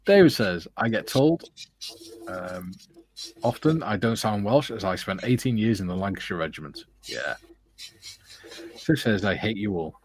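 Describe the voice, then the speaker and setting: A man's voice: on the low side, animated, moderate pace, pauses often, low-quality recording. A man hosting a casual stream, reading viewer comments aloud and teasing his co-hosts in a jokey way. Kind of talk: casual chat.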